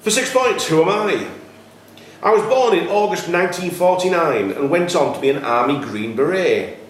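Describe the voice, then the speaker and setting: Male quiz host, lively intonation, clearly enunciated questions, very reverberant room. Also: a little high, normal speed. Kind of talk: reading quiz questions aloud. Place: echoey indoor room at a cricket club. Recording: very echoey room, faint background noise.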